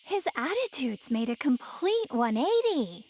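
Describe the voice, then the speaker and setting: Young anime woman, very high pitch, lively intonation, perky, sweet, easygoing, casual game dub delivery. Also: unhurried. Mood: musing. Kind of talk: English-dubbed anime game voice acting.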